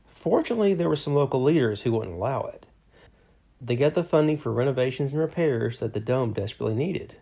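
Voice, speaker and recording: A man co-hosting a podcast, reading a scripted history in a steady, measured way. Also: mid-range voice, vocal fry, breathy edge. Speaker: podcast co-host. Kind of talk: scripted narration.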